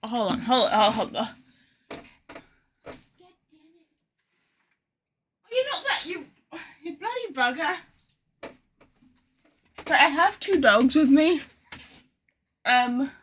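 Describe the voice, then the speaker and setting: A woman's voice: high voice, a bit bright. A woman chatting casually as a live game streamer, informal and sweary, joking at her own expense. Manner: sweary, self-deprecating, informal. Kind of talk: casual live gameplay chat, reading some lines aloud. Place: bedroom.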